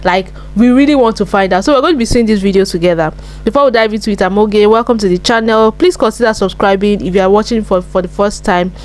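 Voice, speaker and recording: A woman's voice: mid-range voice, darkish tone. A woman hosting a video reaction channel, talking casually and upbeat to her viewers. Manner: upbeat, chatty, repeats herself. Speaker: YouTube reaction host.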